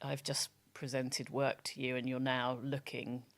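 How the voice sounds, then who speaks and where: Low-voiced, loud, emphatic woman. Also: flat delivery, unhurried. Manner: emphatic and frank, with many restarts. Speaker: guest brand strategist. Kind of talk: candid podcast interview talk.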